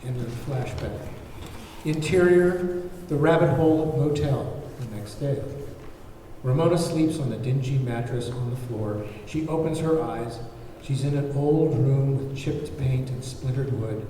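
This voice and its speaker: A man's voice: mid-range voice, unhurried, warm tone. A man narrating, reading out the stage directions of a script at a table read. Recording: very echoey room, faint background noise.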